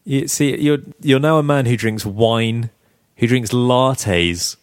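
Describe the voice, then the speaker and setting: Croaky man hosting a podcast. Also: mid-range voice, slow, dry recording, clean studio sound. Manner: chatty, laughs often, teasing. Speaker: podcast host. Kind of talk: casual podcast banter. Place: flat.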